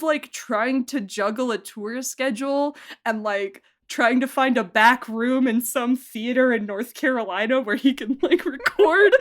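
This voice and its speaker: A woman's voice: fairly high pitch, a bit bright. A woman chatting casually and effusively as a podcast guest, talking as a producer and director and often breaking into laughter. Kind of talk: casual behind-the-scenes interview chat.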